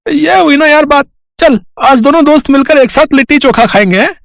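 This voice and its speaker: Eager, high-pitched cartoon buddy. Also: male voice, brisk, vocal fry, fairly bright tone, dry recording, studio quality, full character voice. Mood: friendly, eager. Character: eager, helpful young male friend, excitable and chummy. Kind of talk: animated storybook cartoon dialogue.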